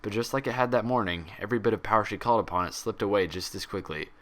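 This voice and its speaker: A man's voice: lowish voice, very fast, fairly bright tone. A man reading fan fiction aloud as a narrator, in a dramatic scripted storytelling style.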